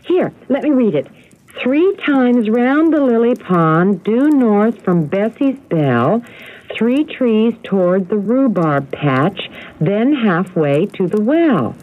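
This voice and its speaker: An actress playing a clever, eager, encouraging wife solving a riddle in a radio mystery drama. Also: female voice, medium pitch, sing-song, takes it slowly. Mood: eager, focused. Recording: muffled phone-like radio drama audio.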